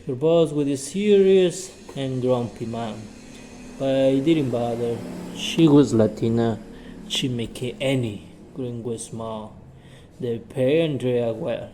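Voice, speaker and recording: A man's voice: mid-range voice, takes it slowly, dark tone, a little hiss or hum, poor audio. A man teaching English by reading a short story and drilling it with questions he asks and answers himself, repeating each sentence.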